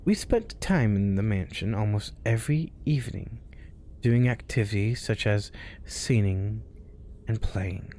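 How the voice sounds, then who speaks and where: A man telling spooky stories slowly and softly, often sinking into a whisper. His pitch swings up and down a lot, and a faint background hiss sits under his voice. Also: animated. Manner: hushed, often dropping to a whisper. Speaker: storyteller host. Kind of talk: reading spooky stories aloud.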